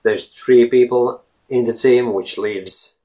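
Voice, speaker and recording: A man's voice: medium pitch, steady tone, slow pace, mellow. A man in his thirties from Latvia, a native Latvian speaker with a Latvian accent, talking casually to the camera and rambling, often asking "right?". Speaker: language blogger.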